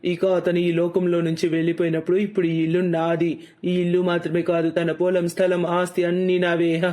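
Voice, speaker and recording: A man playing a cruel, greedy brother-in-law in a cartoon story, mocking and slowly menacing as he gloats. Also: sits high, completely flat delivery, darkish tone, lightly acted. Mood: gloating menace. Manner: mocking, slow drawn-out menace. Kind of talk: animated moral story acting.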